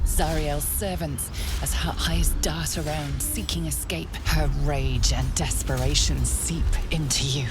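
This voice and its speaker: An actress playing a solemn, ominous storyteller in a dark fantasy game, with deliberate, dramatic delivery that sinks toward a whisper. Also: female voice, sits low. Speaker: game narrator. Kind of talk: scripted second-person fantasy narration. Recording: loud music under the voice.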